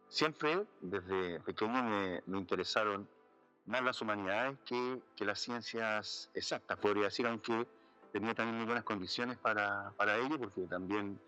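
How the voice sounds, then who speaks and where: A man's voice: lowish voice, pushed and bright, poor audio. A man from Chile with a Chilean accent, a jurist and philosopher answering interview questions thoughtfully, with many fillers. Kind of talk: casual interview talk. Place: outdoors in a city neighborhood.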